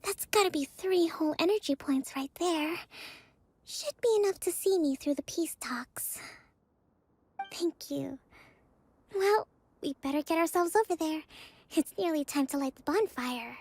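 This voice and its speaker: A gentle young island leader. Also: female voice, very high-pitched, lively intonation, a little slow, long pauses, full character voice. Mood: relieved. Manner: soft-spoken, composed, gentle. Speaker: leader giving a speech. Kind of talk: video game voice acting. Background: background music.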